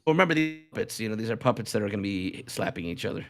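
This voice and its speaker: A man's voice: fairly low pitch, expressive, racing, a bit bright. A man hosting a casual comedy podcast, talking in a rambling, joking way and commenting as he reads from a list. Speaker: podcast host.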